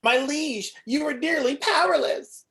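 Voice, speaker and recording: A woman's voice: high-pitched, a little slow, gravelly, a bit bright, heavily acted. A woman voicing a small, servile, anxious robot sidekick in an amateur table read. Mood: meek.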